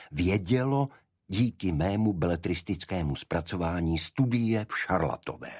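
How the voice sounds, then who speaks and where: A man reading a detective story as a narrator, in a measured, deliberate literary delivery. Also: low-pitched, a little mellow, slightly rough, no echo, studio quality. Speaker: audiobook narrator. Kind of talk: scripted first-person detective story narration.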